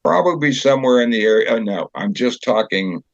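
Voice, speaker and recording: An older man chatting as an interview guest, telling anecdotes in a halting, conversational storyteller's way. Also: mid-range voice, lively intonation, dry recording. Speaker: guest, community theater director and actor. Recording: slightly dull, dry recording.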